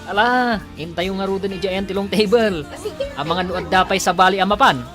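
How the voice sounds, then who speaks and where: An actor playing a brash, joking wedding guest, loud and excitable, in a radio comedy drama. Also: male voice, high-pitched, moderate pace, over music, close to an everyday voice. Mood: joking, excited.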